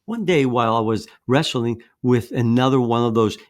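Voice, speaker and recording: A man's voice: medium pitch, moderate pace. A man in his fifties, raised in Greece, giving a persuasive motivational presentation on fitness with a storytelling manner. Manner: persuasive, storytelling, with occasional restarts.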